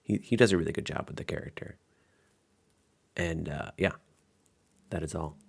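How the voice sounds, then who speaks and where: A man's voice: deep voice, moderate pace, gravelly, slightly rough. A man chatting casually as game master, easygoing and appreciative of his players. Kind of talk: casual chat and commentary.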